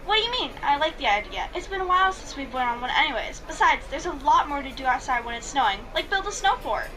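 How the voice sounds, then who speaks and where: A woman's voice: high-pitched, very animated, fast talker, background noise. A woman playing a sweet, enthusiastic, adventurous teenage girl, perky and earnest, in an amateur script read. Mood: playful.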